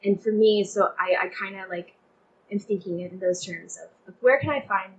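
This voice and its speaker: A woman's voice: medium pitch, moderate pace, highs cut off. A young woman chatting casually as an interview guest, speaking in an effusive, emphatic way peppered with "like". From Texas, United States. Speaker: playwright guest.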